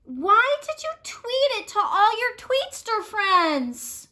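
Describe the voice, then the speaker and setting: A woman's voice: extremely high pitch, big pitch swings, takes it slowly, sharp tone, pitched above the performer's own voice, full character voice. A woman voicing a blunt, exasperated female vulture in a storybook, speaking emphatically. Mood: hurt, indignant. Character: blunt, easily fed-up female vulture friend.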